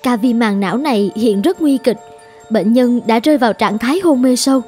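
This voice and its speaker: A woman plays a young cartoon medical assistant, loyal and earnest. Her voice is high, with an urgent, emphatic delivery and music underneath. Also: brisk, warm tone, close to an everyday voice. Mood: urgent pleading. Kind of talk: dramatic animated-film dubbing.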